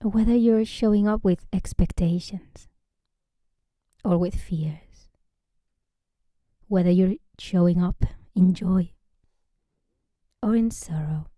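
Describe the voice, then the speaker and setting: A woman leads a guided relaxation in a slightly low, very mellow voice. She is gentle and unhurried, often easing into a breathy near-whisper. The sound is close and dry. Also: dry recording. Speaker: yoga nidra teacher.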